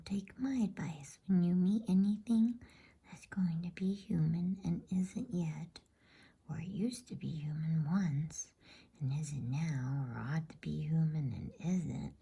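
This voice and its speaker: A grave, knowing beaver from a bedtime storybook, acted out by a woman, speaks in a breathy whisper. He is wary and earnest, cautioning in low, unhurried tones. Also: dark tone, exaggerated voice. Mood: grave warning. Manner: earnest, cautionary, old-fashioned storybook speech.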